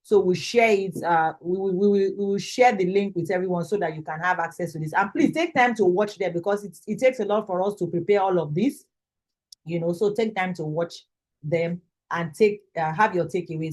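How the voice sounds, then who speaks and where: A woman's voice: mid-range voice, brisk. A woman with a Nigerian accent informally hosting an online event as its instructor on a video call. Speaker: host and lead instructor of an online graduation. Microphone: video call microphone.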